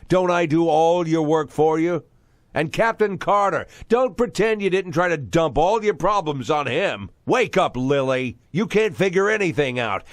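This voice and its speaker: Male actor, bitter crooked ex-cop, middle-aged, needling and domineering, dramatic game dialogue, very animated pitch. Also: no room sound, clean studio sound, natural voice. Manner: sarcastic, menacing, needling.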